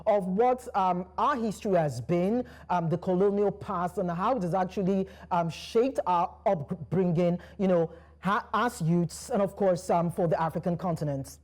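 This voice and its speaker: A woman's voice: on the low side, normal speed, hardly any pauses, a little mellow. A woman hosting a studio panel discussion, polite and guiding the talk, with frequent fillers. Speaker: news show host.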